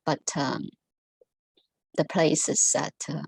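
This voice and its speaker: A woman whose first language is Mandarin gives a halting talk to an online Buddhist study group, full of pauses and fillers. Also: low-pitched, flat delivery, creaky, darkish tone, slightly rough, no room sound, low-quality recording. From Taiwan. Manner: halting, many ums and restarts. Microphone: video call.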